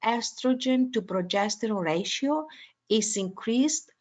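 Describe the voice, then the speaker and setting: A woman from Greece with a Greek accent, a neurologist giving a medical lecture webinar over a video call, pausing often on uh and um. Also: on the high side, lively intonation, a little mellow. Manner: hesitant, many uh and um fillers.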